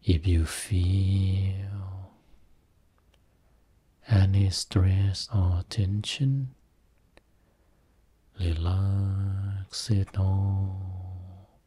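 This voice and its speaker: A man guiding a Buddhist meditation as a teacher, speaking soothingly in short phrases with long pauses between them. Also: sits low, little pitch movement, mellow, husky. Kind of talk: guided meditation.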